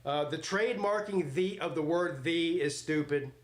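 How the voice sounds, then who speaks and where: A man's voice: a little high, normal speed. A man in his forties hosting a live sports watch party, mixing casual chat with play-by-play in a blunt, joking, rambling way. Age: 40s.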